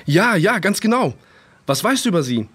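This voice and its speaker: An actor playing a calm but worried father in a children's audio drama. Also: high voice, moderate pace, slightly rough, dry recording, heavily acted. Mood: calm, concerned.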